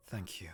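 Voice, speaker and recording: A man voicing a reserved, weary young prince in a visual novel game, with a low-key, deadpan delivery. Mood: weary, subdued. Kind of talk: visual novel character acting.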